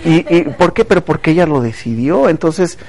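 A man's voice: fairly high pitch, expressive, brisk, crowd noise. A man hosting a casual talk show, chatty and speaking directly to viewers. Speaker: TV show host.

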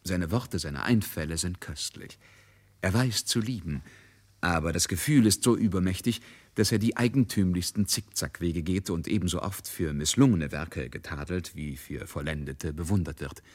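A man serves as the narrator of a literary radio play. His voice is deep, and he delivers the prose as scripted storytelling. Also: moderate pace, breathy edge.

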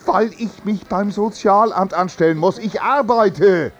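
An actor playing a stubborn, gruff, unemployed locksmith who grumbles coarsely and with exasperation in a radio play. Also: male voice, high voice, unhurried, a bit bright, lo-fi sound. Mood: exasperated, angry.

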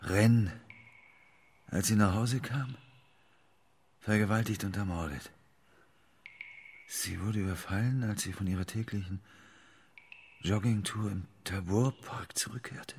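A man's voice: lowish voice, unhurried, long pauses, a little mellow, a little hiss or hum. An actor in a German radio drama playing a despairing homeless man who grieves for his son. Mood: tense reading. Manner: emotional, wailing when distressed.

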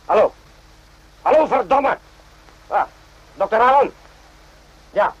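An actor in a radio play voicing a gruff, irritable army sergeant with a husky voice and a curt, sneering way of talking. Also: male voice, high-pitched, expressive, slow pace, lo-fi sound, heavily acted. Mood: irritated, urgent.

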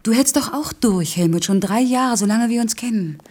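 An actress playing a headstrong, defensive working-class woman in a naturalistic radio play, curt and dryly self-mocking, with a husky voice. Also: a little high, moderate pace, darkish tone, close to an everyday voice. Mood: stubborn, earnest.

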